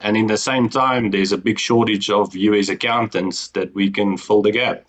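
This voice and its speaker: Low-voiced Afrikaans-accented man. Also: steady tone, moderate pace. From South Africa. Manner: earnest storytelling, frequent ums and restarts. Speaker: guest, business co-founder. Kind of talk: casual interview talk.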